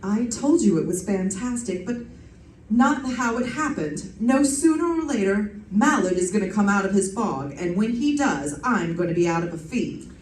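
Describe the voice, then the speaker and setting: A woman playing a wry, quick-witted female private detective in an old-time radio drama, with a sardonic, snappy delivery. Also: mid-range voice, animated, darkish tone, a little hiss or hum, close to an everyday voice. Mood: businesslike, wry. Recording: roomy, distant stage pickup.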